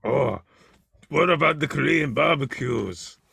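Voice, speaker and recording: Podcast host, low gravelly bright voice, deadpan, dry close sound. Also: male voice, a little slow, no echo. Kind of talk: casual interview.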